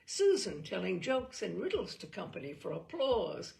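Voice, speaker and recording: A woman narrates literary fiction with a lightly gravelly creak in her voice. Her delivery is dramatic and measured, with very lively pitch movement and drops into quieter phrasing. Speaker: reader of short fiction. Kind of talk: expressive literary narration read aloud.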